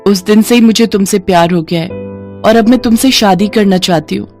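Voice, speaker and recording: A woman's voice: mid-range voice, brisk, darkish tone, faint music behind, natural voice. A woman voicing a determined young rich bride who speaks eagerly, mixing in English words. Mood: pleading.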